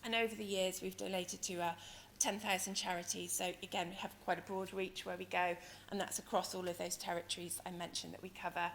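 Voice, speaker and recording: A woman with a croaky, hoarse voice giving a conference presentation as a company director, explaining things in a hesitant, wordy way. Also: fairly low pitch, flat delivery, fairly quick, a bit bright. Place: conference venue in London, speaking to an audience. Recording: noisy conference hall ambience.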